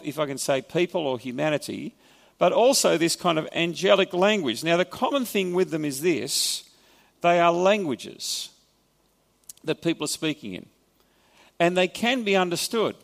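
A man's voice: a little high, lively intonation, normal speed, gravelly, big-room sound. A man with an Australian accent preaching a sermon, explaining scripture in a conversational way with wry asides. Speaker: pastor. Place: church hall.

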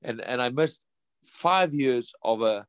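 A man's voice: medium pitch, animated, slow pace, clean tone, no room sound, studio quality. A professional boxer in his thirties answering interview questions earnestly, often repeating and restarting words. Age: 30s.

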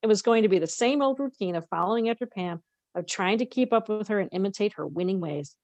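A woman's voice: medium pitch, lively intonation, fast talker, a little mellow, no room sound, lo-fi sound. Woman reading aloud, close dry sound. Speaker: podcast co-host reading a novel aloud. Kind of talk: read-aloud narration with casual asides.

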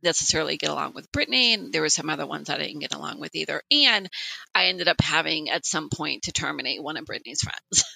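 A woman in her late forties co-hosting a podcast, telling personal stories in an animated, conversational way. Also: low voice, fast talker, creaky, breathy edge, no room sound, poor audio. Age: late 40s. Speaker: podcast co-host. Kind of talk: casual personal podcast chat.

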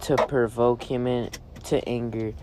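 A man reading scripture aloud in halting phrases, occasionally stumbling over words. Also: medium pitch, flat delivery. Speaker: family scripture reader. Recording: loud vehicle and road noise. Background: car and vehicle noise.

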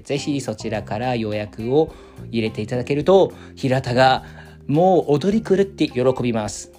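Guy doing a casual promo at home, voice swings up and down, soft guitar music behind. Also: mid-range voice. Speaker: voice-actor circle leader making an announcement. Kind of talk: informal spoken promo. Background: faint guitar music.

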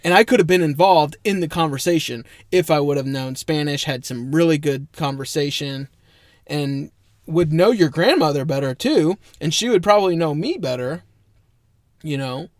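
A man's voice: on the high side, expressive, moderate pace, no echo, clean studio sound. A young man co-hosting a podcast in a casual, joking way, laughing often. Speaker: podcast co-host. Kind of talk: casual chat.

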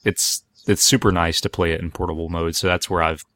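A man's voice: sits low, flat delivery, fairly quick, fairly bright tone. A man hosting a casual podcast discussion, feeling his way through sentences in a hesitant, meandering way. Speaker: podcast host.

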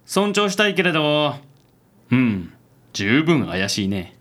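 An actor playing a suave, affected, good-natured company president in a radio drama. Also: male voice, fairly high pitch, sing-song, takes it slowly, exaggerated voice. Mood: pondering, smooth. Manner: suave, theatrical, gentlemanly.

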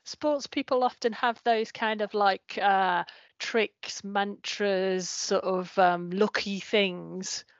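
A woman's voice: medium pitch, fairly even intonation, slow, no echo, highs cut off. A woman from Newcastle upon Tyne co-hosting a podcast, asking questions in a friendly, chatty way. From Newcastle upon Tyne, England. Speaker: podcast co-host. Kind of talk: casual interview chat.

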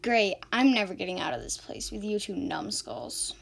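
A woman's voice: mid-range voice, very animated, normal speed, gravelly. A woman playing a sassy, sceptical young woman in an amateur radio drama, sarcastic and indignant.

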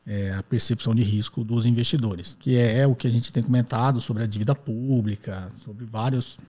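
Mellow-voiced man explaining patiently. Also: mid-range voice, fairly even intonation, moderate pace. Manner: explains step by step, often tags sentences with "né?". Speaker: podcast host answering listener questions. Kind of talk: explanatory podcast talk.

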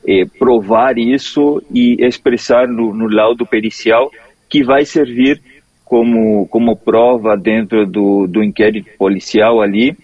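Husky, low-voiced male expert on lo-fi link. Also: fairly even intonation, a little mellow, dry recording. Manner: hesitant, measured, restarts technical explanations. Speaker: forensic expert, interview guest. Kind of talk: explanatory interview answers.

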